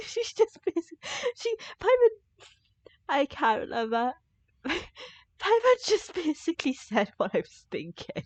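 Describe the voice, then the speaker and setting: A woman's voice: extremely high pitch, wildly swooping pitch, slow, no room sound. A woman giving casual, jokey live commentary as she plays a game, reacting aloud to it. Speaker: game streamer.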